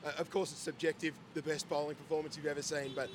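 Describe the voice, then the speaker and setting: A hoarse man co-hosting a casual chat, voice frayed from shouting. He sits a bit high in pitch and reels off figures with enthusiasm. A faint hum of noise sits behind him. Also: flat delivery, fast talker. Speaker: co-host.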